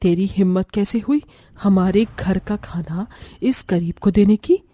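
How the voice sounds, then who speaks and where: A woman's voice: medium pitch, measured pace, mellow, close to an everyday voice. A woman playing a haughty, bossy, middle-aged mother-in-law from a rich family in a cartoon story. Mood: outraged. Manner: bossy, scornful.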